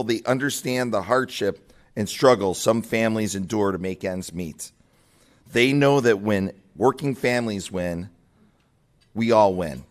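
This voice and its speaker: A man giving a rousing labor speech at a political breakfast, lifting his voice on key words. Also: medium pitch. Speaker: labor leader speaking at a party breakfast. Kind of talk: rally speech.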